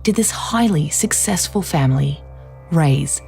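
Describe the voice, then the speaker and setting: An actress playing a female true-crime podcast host, narrating in a measured, insinuating, dramatic way from a script. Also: sits low, lively intonation, vocal fry, dark tone, soft background music, exaggerated voice.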